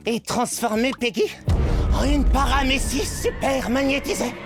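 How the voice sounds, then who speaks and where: An earnest, pedantic little cartoon inventor, explains everything in precise scientific terms. The voice moves up and down with animated intonation, over clearly audible music. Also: female voice, high-pitched, a little slow. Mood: intrigued, explaining. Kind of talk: French cartoon dub acting.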